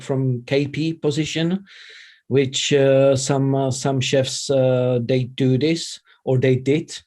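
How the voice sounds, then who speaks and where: A middle-aged man with a Czech accent, chatting casually as an interview guest in halting, non-native English. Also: medium pitch, darkish tone. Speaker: interview guest, vegan chef and former radio presenter.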